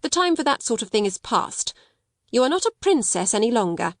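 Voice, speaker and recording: A woman's voice: on the high side, big pitch swings, fairly quick, no room sound, clean studio sound, pitched above the performer's own voice. A woman reading the part of a stern, domineering headmistress, speaking coldly and sharply. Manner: cold, sharp, scolding. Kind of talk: audiobook character reading.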